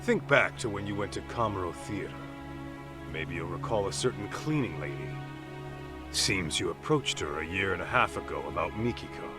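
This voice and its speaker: Tough, low, expressive investigator. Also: male voice, normal speed, over loud music. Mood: accusing. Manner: blunt, confrontational. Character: tough, blunt middle-aged male investigator, hot-tempered. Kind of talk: dramatic video game cutscene acting.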